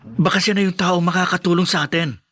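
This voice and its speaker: A hopeful young working man with a fairly high voice. He is patient and reassuring, talking persuasively as in a radio drama. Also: fairly even intonation, quick pace, fairly bright tone. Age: young adult. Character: patient, hopeful young restaurant worker and friend. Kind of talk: Filipino radio drama acting.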